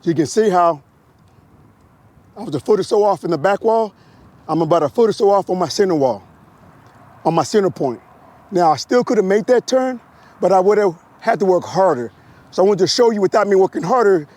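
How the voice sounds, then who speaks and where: Man, hoarse, high voice, motorcycle instructor, step-by-step coaching, outdoors, wireless mic. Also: expressive, fairly quick, darkish tone. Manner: step-by-step coaching, repeats cues. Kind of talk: outdoor riding demonstration.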